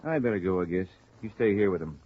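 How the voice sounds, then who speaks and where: American hoodlum boss, low and curt, quietly threatening, 1950s radio serial on muffled recording. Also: male voice, lively intonation, quick pace, husky. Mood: coolly commanding. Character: tough, menacing male gunman in charge. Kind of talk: 1950s radio crime acting. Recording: muffled, noisy old radio broadcast.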